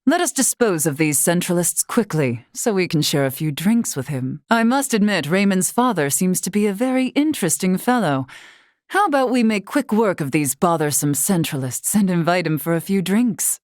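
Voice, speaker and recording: A woman voicing a solemn, dutiful warrior stateswoman in a video game, speaking in formal, measured, old-fashioned phrasing. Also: fairly low pitch, a little mellow, dry recording, studio quality, lightly acted. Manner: formal, measured, archaic diction. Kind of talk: scripted video game character dialogue.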